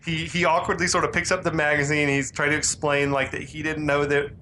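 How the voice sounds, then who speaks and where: Casual male podcast co-host, bright edge to the voice, laid-back scene-by-scene retelling. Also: fairly high pitch, level tone, a little fast, a little hiss or hum. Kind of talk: casual movie recap chat.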